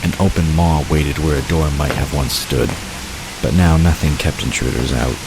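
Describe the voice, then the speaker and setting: A man's voice: extremely low pitch, steady tone, moderate pace. A man reading scripted story narration for an audio drama, with watery ambience behind him. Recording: constant rain-like hiss behind voice.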